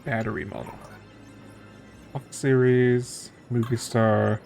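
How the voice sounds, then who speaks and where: A man's voice: mid-range voice, flat delivery, slow, gravelly, darkish tone, faint music behind. A man streaming a video game with casual commentary, musing and thinking aloud as he plays.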